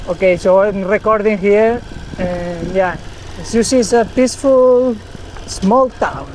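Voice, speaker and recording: A man speaking English as a second language, vlogging casually as he walks a small town's streets and market, halting often and restarting his sentences. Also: high voice, a little mellow, a little hiss or hum, low-quality recording. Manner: halting, with many restarts and self-corrections. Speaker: travel vlogger. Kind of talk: casual walk-and-talk vlog.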